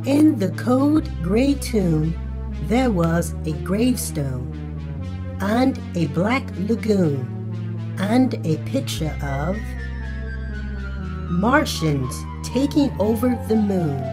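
A woman voicing a friendly furry animal puppet, reading a storybook to young children with cheerful, deliberate, singsong enunciation over music. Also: mid-range voice, very animated. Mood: gentle, suspenseful. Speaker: children's read-aloud host. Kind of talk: slow storybook read-aloud for young children. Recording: music under the voice.

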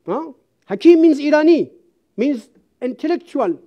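A woman from Iran, giving a seminar speech in an auditorium in halting English, speaking emphatically and reciting verse. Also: sits high, expressive, warm tone, lo-fi sound. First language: Persian.

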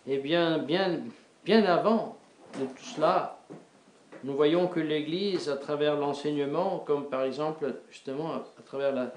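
A middle-aged male priest preaching a sermon in a small chapel, halting and repeating words with many fillers. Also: on the high side, a little hiss or hum. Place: small wood-panelled chapel before an altar. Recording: echoey chapel.

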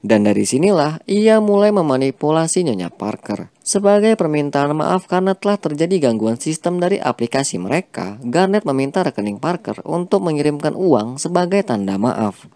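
A man reading a scripted film plot recap as a voice-over, with a steady storytelling delivery. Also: fairly high pitch, moderate pace, no room sound. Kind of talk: scripted narration.

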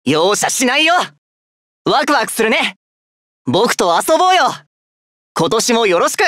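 Anime game fox youth: boyish, spirited, animated delivery, dry studio recording. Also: male voice, very high voice, a little fast, no echo, exaggerated voice. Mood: playful. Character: playful, boyish young male fox shapeshifter. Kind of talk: Japanese anime-style game voice acting.

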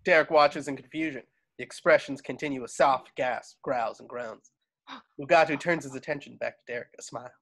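A man's voice: mid-range voice, brisk, fairly bright tone. A man reading screenplay stage directions aloud as the narrator of a home table read. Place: at home on a video call.

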